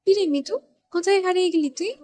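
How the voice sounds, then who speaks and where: A woman's voice: very high-pitched, quick pace, warm tone. A woman playing a chatty, teasing young college girl in an audio story, in a girlish, gossipy way. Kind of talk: scripted audio story dialogue.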